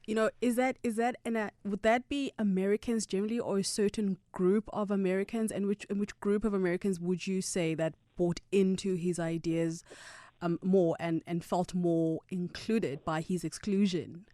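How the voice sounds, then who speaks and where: A woman hosts a radio interview, framing her questions with stumbling repetitions of words. Her voice is at an ordinary middle pitch. The studio sound is close and dry. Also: steady tone, normal speed, mellow, no room sound. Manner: stumbling repetitions when asking questions, attentive "mm" backchannels. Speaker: radio host.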